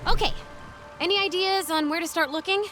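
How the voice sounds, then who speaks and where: An actress playing a brave, sceptical, wisecracking young heroine in an animated game, punchy and exclamatory. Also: female voice, very high voice, a little fast, soft background music. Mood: alert, determined.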